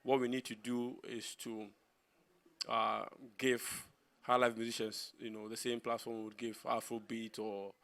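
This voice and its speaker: A man's voice: mid-range voice, level tone, a little slow, a bit bright. A man giving a reasoned, balanced opinion in conversational panel talk. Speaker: panelist on a TV discussion show.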